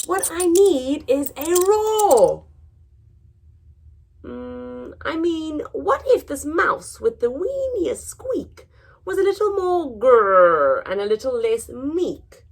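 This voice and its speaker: A woman's voice: sits high, very animated, slow pace, voice pushed up, heavily acted. A woman voicing a small, shy but hopeful male mouse in a storybook, with an earnest, polite and timid manner. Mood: eager.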